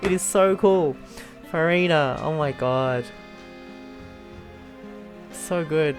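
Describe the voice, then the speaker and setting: A woman with a low-pitched voice chats informally over gameplay. She is giggly and casual in the way of a streamer, and soft game music sits behind her. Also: takes it slowly.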